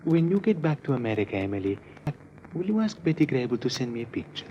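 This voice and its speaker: An actor playing a wounded, lovelorn Sicilian bandit in an old radio comedy. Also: male voice, medium pitch, sing-song, fast talker, lightly acted. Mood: feeble, pitiful. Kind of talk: 1950s radio comedy acting. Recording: muffled, noisy old radio recording.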